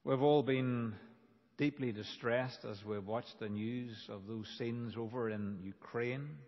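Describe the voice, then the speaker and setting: A man preaching a sermon as a pastor, in a deliberate, emphatic manner with dramatic pauses. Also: medium pitch. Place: large reverberant church. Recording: dull, reverberant church hall recording.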